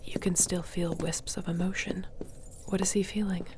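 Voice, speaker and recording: An actress playing a wronged, defiant sorceress in a fantasy audio drama, speaking with earnest intensity. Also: female voice, fairly low pitch, flat delivery, normal speed, noisy background, lightly acted. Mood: hushed, wary. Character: wronged, defiant sorceress pleading her innocence.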